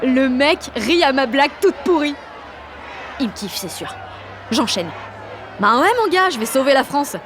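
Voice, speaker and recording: A woman playing a brash, excitable sixteen-year-old girl with grandiose ideas, narrating an audio drama in slangy, dramatic first person. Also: high voice, unhurried, long pauses, noisy background. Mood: cocky, excited. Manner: slangy, cocky, dramatic teenage delivery. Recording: music and street crowd behind the voice.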